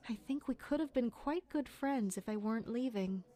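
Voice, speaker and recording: Casting: young betrothed noblewoman for audio drama, female actor, high-pitched, friendly, curious, openly candid. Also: moderate pace, mellow, close to an everyday voice. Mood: warm, chatty. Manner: chatty, inquisitive, candid.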